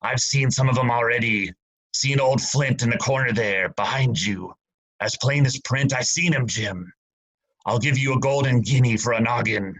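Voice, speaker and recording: A man voicing an old drunken pirate captain who talks in gruff sailor dialect and pleads fearfully. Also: mid-range voice, normal speed, fairly bright tone, close to an everyday voice, low-quality recording. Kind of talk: student radio play acting.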